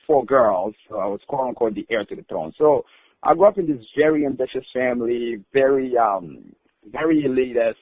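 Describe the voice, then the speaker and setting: Low-voiced man on a tinny phone line. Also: dry recording. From Rwanda. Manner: hesitant, many uh fillers and restarts. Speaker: guest. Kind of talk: podcast storytelling.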